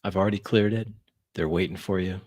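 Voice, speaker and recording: A man narrating his character's actions in first person during a tabletop roleplaying game, in deliberate, dramatic phrases. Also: low voice, fairly even intonation, darkish tone.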